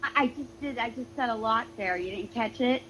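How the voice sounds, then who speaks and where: A woman's voice: a little high, brisk, a bit bright. A woman chatting and arguing on a livestream call, hesitant and rambling, over a poor phone connection. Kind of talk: casual argumentative livestream chat. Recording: muffled, noisy, breaking-up phone connection.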